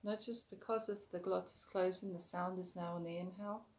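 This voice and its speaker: A woman teaching a yoga breathing technique in a calm, instructive way, her voice a little rough today, sometimes stretching words slowly and dropping softer while guiding the breath. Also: on the low side. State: slightly rough voice. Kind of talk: breathing tutorial.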